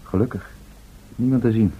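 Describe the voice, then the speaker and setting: An actor in a radio drama playing a calm, practical adventurer who reasons in a measured, matter-of-fact way. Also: male voice, on the low side, close to an everyday voice. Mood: relieved, sober. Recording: dull, noisy old radio recording.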